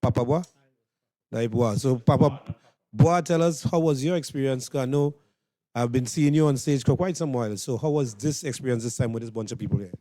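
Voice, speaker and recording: A man's voice: mid-range voice, fairly quick, warm tone, no room sound. A man with a Trinidadian accent hosting informal interviews with performers at an event. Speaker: interviewer and host.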